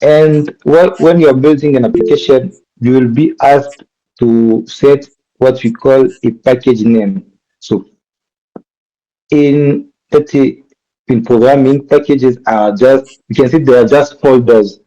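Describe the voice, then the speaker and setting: Mellow-voiced man with a French accent. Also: mid-range voice, lo-fi sound. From DR Congo. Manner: halting, often restarts and corrects himself mid-sentence. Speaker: workshop instructor. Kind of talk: live coding tutorial.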